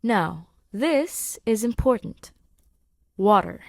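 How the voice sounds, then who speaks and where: A woman voicing an eager, friendly human male science teacher, animated and encouraging, in a fan fiction audio reading. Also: mid-range voice, slow pace, gravelly, no room sound, clean studio sound. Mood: earnest, explaining. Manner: animated, encouraging classroom delivery.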